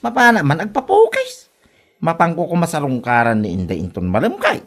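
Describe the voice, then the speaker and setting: A woman's voice: deep voice, wildly swooping pitch, brisk, heavily acted. A woman playing a cheerful, smitten younger brother, dreamy and playful, in a comedic audio drama.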